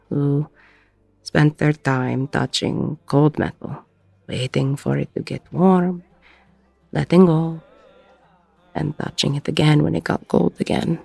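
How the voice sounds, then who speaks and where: A woman playing a weary, regretful ex-convict bar owner in an intimate audio roleplay, with a husky, gravelly voice and a reflective delivery full of pauses. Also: low voice, warm tone, no room sound, heavily acted. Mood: haunted, subdued. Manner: slow, reflective, pausing mid-sentence.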